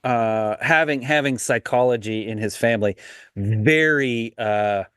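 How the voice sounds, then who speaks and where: A man's voice: medium pitch, measured pace, a bit bright, clear, resonant tone, no room sound. Rambling young man, dry close sound. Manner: rambling, many restarts and laughs. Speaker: podcast co-host. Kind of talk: casual chat.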